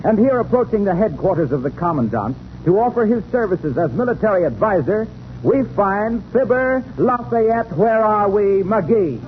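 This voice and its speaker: A man's voice: high-pitched, sing-song, normal speed. A man delivering scripted narration as a 1930s radio announcer. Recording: noisy, tinny 1930s radio recording.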